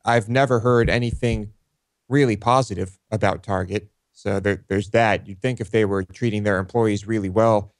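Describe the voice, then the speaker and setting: Low-voiced man, dry close sound. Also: fairly even intonation, moderate pace, no echo. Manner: hesitant, many fillers and restarts. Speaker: co-host. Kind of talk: casual chat.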